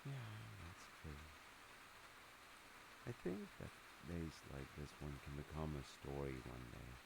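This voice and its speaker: A man playing a gentle, attentive middle-aged father in a wholesome comfort roleplay, soft-spoken, hesitant and reassuring, with rain behind him. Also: a bass voice, takes it slowly, gravelly, heavily acted. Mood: tender, concerned. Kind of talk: wholesome comfort audio roleplay, one-sided conversation. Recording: steady loud rain noise over voice. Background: rain sounds.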